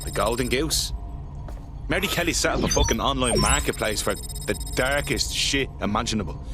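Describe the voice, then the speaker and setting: An actor playing a blunt, swearing hacker operative. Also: male voice, mid-range voice, moderate pace, over loud music, close to an everyday voice. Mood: determined.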